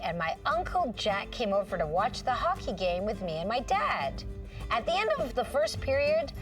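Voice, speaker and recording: A woman's voice: mid-range voice, far from the mic. A woman hosting a children's show, reading a storybook expressively with dramatic little interjections and questions for young listeners.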